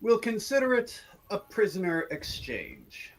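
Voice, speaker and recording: A man voicing a scheming, gleeful alien warrior villain with a harsh, gravelly voice and slow, exaggerated delivery in an amateur radio play. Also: high voice, very animated, exaggerated voice, low-quality recording. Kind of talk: amateur radio theatre script read.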